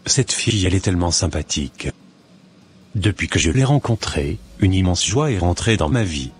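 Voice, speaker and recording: A man playing an earnest young man, low-voiced. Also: expressive, moderate pace, breathy edge, full character voice. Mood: smitten, earnest. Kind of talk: scripted cartoon dubbing.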